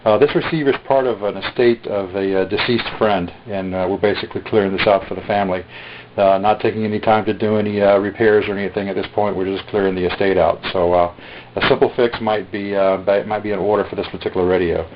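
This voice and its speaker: A man demonstrating a radio he is selling in a casual, halting way, filling pauses with lots of "uh". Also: fairly low pitch, steady tone, background noise. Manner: halting, many "uh" fillers. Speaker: radio seller demonstrating a receiver. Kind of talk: casual product demo. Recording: dull lo-fi recording.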